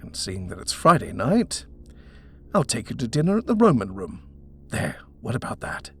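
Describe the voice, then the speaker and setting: A man's voice: mid-range voice, wildly swooping pitch, moderate pace, fairly bright tone, slight background noise, voice pushed up. A man voicing an eager young husband in a story, persuasive and indulgent as he talks up a house. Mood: coaxing, persuasive. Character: eager, coaxing young British husband, smooth-talking house-hunter. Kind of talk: storybook character reading.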